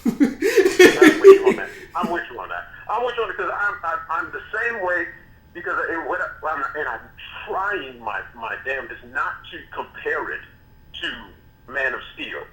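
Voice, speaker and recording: A man co-hosting a casual podcast chat, animated and tripping over restarts as he makes his point. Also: a little high, normal speed. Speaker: podcast co-host. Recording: echoey room.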